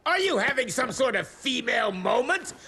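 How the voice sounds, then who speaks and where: A man's voice: very high-pitched, sing-song, normal speed, pushed and bright, slightly rough, heavily acted. An actor playing a foul-mouthed, bullying male government secretary who bellows orders and insults. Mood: commanding, furious.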